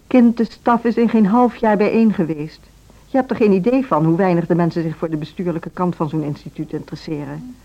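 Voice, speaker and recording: A composed, patronizing woman director with a dark, soft-edged voice on the low side. She speaks formally and with measured authority, as in a radio play, on a dull recording. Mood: firm, dismissive. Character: self-assured, formal female music school director, patronizing and composed. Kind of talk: Dutch radio drama dialogue.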